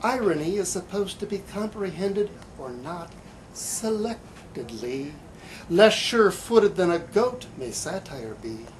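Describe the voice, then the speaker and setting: A man giving a live poetry reading in a bookstore, with halting, hesitant asides and deliberate delivery. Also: sits high, expressive, rough recording. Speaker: poet. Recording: light background room noise.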